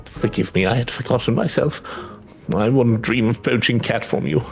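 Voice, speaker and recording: A man voicing a courteous older master of a trade in a fantasy game, with a formal, measured way of talking. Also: mid-range voice, gravelly, soft background music, natural voice. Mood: apologetic.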